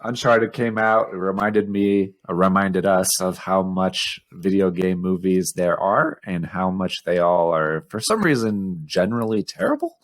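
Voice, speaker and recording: A man's voice: sits low, a little slow, hardly any pauses. A man co-hosting a podcast in casual, informal conversation, with a self-mocking, sweary way of talking. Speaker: podcast co-host. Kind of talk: casual chat.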